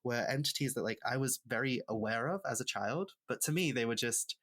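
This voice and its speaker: A woman with a Welsh accent whose first language is Welsh, speaking as a podcast guest. She tells stories in a reflective, unhurried way, with light laughs now and then. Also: a bass voice, lively intonation, a bit bright. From Wales. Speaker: guest author. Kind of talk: casual podcast conversation.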